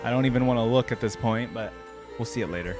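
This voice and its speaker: A man's voice: mid-range voice, fast. A man hosting a reaction video, giving casual, conversational commentary as he thinks aloud. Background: background music.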